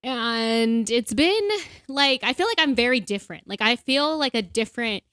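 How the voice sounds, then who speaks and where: A young woman chats away on a podcast, bubbly and loose with fillers. Her voice sits a little high. The sound is close and dry, with no room around it. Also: animated, normal speed, a bit bright, dry recording. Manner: chatty, bubbly, many fillers. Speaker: podcast co-host. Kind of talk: casual chat.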